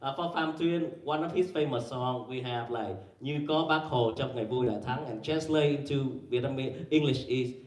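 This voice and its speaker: A man presenting at a conference panel, talking haltingly with many fillers and restarts and quizzing the audience. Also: medium pitch, darkish tone. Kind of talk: academic presentation with slides. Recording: echoey room, mic far away.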